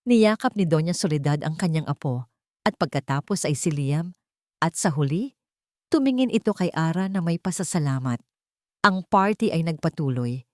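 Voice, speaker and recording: A woman narrates a romantic drama from a script. Her voice sits slightly low, and she moves through short phrases in a deliberate, measured way. The sound is dry, with very little room. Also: expressive, a little mellow, no room sound. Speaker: story narrator.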